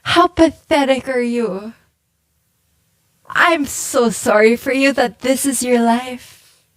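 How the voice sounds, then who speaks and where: A woman streamer reading viewer confessions aloud and reacting in casual chat. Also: a little high. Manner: exasperated, quick reading aloud.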